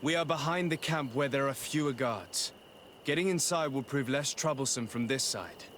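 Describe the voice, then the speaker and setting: A man playing a composed noble diplomat and tactician in a video game, speaking formally and in a measured way. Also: medium pitch, fairly bright tone, natural voice. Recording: outdoor ambient noise behind voice.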